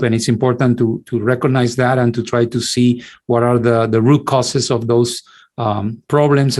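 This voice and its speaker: Middle-aged man, mid-pitched, halting academic lecturer. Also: a little mellow. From Cuba. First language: Spanish. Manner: halting, many restarts and repeated words. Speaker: infectious disease physician, lecturer. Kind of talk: online lecture.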